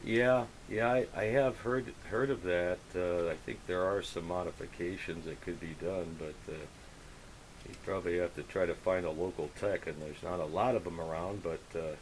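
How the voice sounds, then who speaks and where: A man's voice: low voice, fairly even intonation, normal speed, noisy background. A man chatting casually over the radio as an operator, effusive and full of radio jargon. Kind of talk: casual radio contact chat.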